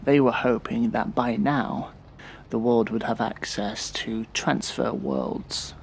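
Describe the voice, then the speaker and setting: An actor playing a weary, resentful man of about thirty in an audio drama, speaking in a bitter, sardonic and deliberate way. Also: mid-range voice, fairly even intonation, vocal fry, a little hiss or hum. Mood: resigned. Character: weary, resentful thirty-year-old man confiding in a therapist. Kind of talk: audio drama acting, monologue.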